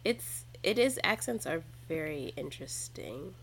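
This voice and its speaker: A woman's voice: sits low, expressive, unhurried, vocal fry, fairly bright tone, slightly rough. A woman in casual conversation who talks chattily, laughs often and acts out her own reactions. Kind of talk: casual chat.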